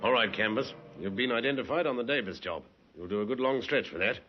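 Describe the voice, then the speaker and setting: A man's voice: mid-range voice, quick pace, very noisy, natural voice. An actor playing a shrewd police inspector in a radio drama, firm and coaxing as he interrogates. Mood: stern. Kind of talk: 1950s radio drama acting. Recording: muffled 1950s radio recording.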